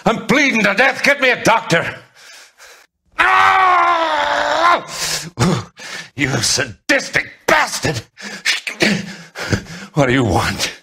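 A man's voice: very high voice, huge pitch swings, very slow, vocal fry, long pauses, pushed and bright, slightly rough, raised voice, exaggerated voice. An actor playing a wounded, foul-mouthed crooked businessman in a video game, snarling and pleading in agony. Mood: agonized rage. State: in agony, crying out. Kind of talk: dramatic video game acting.

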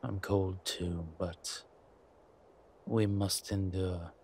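A man playing a centuries-old vampire in a roleplay audio drama, suave, wry and protective, speaking in a measured, theatrical way with deliberate pauses. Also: low-pitched, flat delivery, darkish tone, exaggerated voice. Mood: wry, grumbling. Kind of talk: scripted roleplay audio drama addressed to the listener. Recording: clear background ambience noise.

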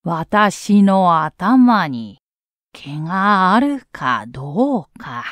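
A woman's voice: fairly low pitch, very animated, drawn-out words, no room sound, clean studio sound, pitched below the performer's own voice, exaggerated voice. A woman voicing a drowsy, blunt octopus in a children's story, with a curt drawl. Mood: grumpy, sleepy. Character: gruff, drowsy, blunt octopus woken from a nap.

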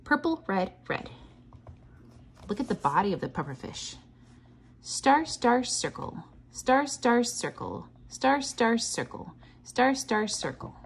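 A woman's voice: a little high, big pitch swings, takes it slowly, creaky, husky. A woman teacher leading a children's read-along lesson, encouraging, asking questions and chanting patterns aloud. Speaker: teacher reading a picture book to children. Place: indoors at a table.

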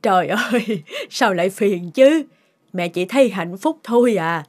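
A woman voicing a caring, shrewd older mother in a cartoon, chatty and gently admonishing, with loud music behind her. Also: medium pitch, expressive, a little slow. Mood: tender, content. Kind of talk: animated cartoon voice acting. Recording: loud background music over voice.

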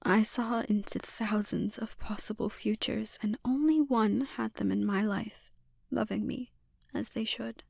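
A menacing sorceress acted out by a woman speaks very slowly, with a creaky edge to her voice. She is calm, dominant and taunting, often slipping into a whisper. The ASMR roleplay is close and dry. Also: medium pitch, no room sound. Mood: intense, resolute. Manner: slow, deliberate, taunting, lapsing into breathy whispers. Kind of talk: ASMR roleplay, intimate scripted monologue.

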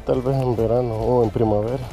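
A man's voice: medium pitch, fairly even intonation, a little fast, warm tone, soft background music. A man with a Salvadoran Spanish accent chatting casually and jokingly as a vlogger out on a hike. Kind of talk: casual chat while hiking. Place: outdoors in a park.

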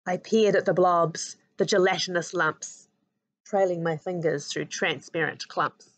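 A woman in her thirties with a New Zealand accent reads a children's picture book aloud with an expressive, sing-song rhyming delivery. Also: on the low side, unhurried. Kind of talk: storybook read-aloud for children. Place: living room at home. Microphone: webcam at arm's length.

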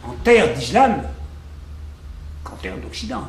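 An older man from Algeria talks reflectively in an interview, often repeating words as he looks for the right one. His voice moves in a lively way. It is recorded in an echoey room with faint background noise. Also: medium pitch, takes it slowly. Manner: reflective, repeats words while searching for them. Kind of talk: conversational interview.

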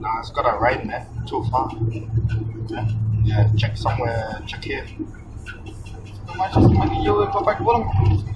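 A man talks casually and in an offhand way inside a car on a road trip. His voice rises and falls a lot. The recording comes from a dashboard camera away from him, so it sounds dull and thin, and loud road noise runs underneath. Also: medium pitch, big pitch swings, moderate pace, pauses often, far from the mic.

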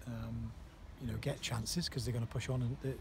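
A man, a football manager giving a post-match interview outdoors at night, halting with many fillers. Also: mid-range voice, little pitch movement, vocal fry. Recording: outdoor noise behind voice.

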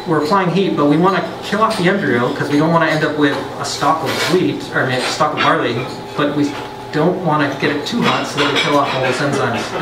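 A man's voice: fairly high pitch, recorded from a distance, heavy background noise. A man, a brewer, giving a chatty science talk to a pub audience, with joking asides and frequent restarts. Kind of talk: casual lecture to a pub audience. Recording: roomy, off-mic, loud background.

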